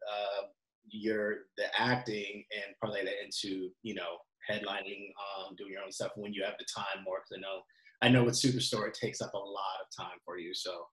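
A man's voice: fairly low pitch, little pitch movement, moderate pace, fairly bright tone. A man hosting a casual interview in an encouraging way, recorded in an echoing studio. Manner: encouraging, frequent affirmations. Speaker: comedy interview show host. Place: indoor home-built studio with brick wall. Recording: roomy echo, music behind.

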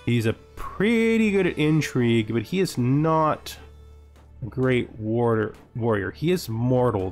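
A man's voice: mid-range voice, darkish tone. A man giving casual commentary on a strategy game, thinking aloud as he plays. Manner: thinks aloud, talking to himself. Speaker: gaming video host. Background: background music.

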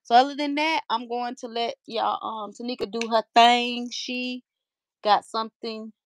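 A woman's voice: on the high side, steady tone, moderate pace, no room sound, clean studio sound. A middle-aged woman hosting a casual livestream from a room at home, talking easily into a webcam. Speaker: livestream host.

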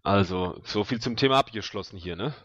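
A man's voice: lowish voice, brisk, fairly bright tone, dry recording. A man playing a tabletop role-playing game, chatting casually in a blunt, colloquial, rambling way on a slightly dull, dry recording.

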